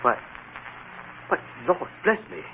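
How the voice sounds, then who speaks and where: An actor playing a courteous, flustered charity gentleman, stammering and formal, in an old-time radio drama. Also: slow pace, lightly acted. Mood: flustered, amazed. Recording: tinny, noisy vintage radio broadcast.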